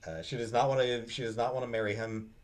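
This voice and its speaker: A man giving a casual, unscripted book talk in a halting way, searching for his words. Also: mid-range voice, fairly even intonation. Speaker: book reviewer, host.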